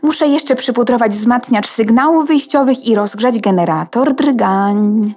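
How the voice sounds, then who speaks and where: A vain diva, slow and high, super grand, kids radio play. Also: female voice, darkish tone. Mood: grand, self-satisfied. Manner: grand, self-important, theatrical. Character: vain female opera diva, a computer component. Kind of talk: children's radio play acting.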